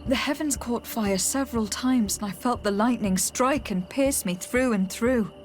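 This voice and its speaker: An actress playing an earnest, composed young noblewoman in a video game, speaking gravely and carefully. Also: a little high, normal speed, slight background noise, lightly acted. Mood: awed, hushed.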